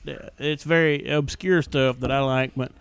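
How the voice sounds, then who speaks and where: A man's voice: medium pitch, steady tone, moderate pace, gravelly, clear, resonant tone. A man with a Southern US accent chatting casually as a podcast host, telling things in a folksy, rambling way. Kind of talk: casual chat.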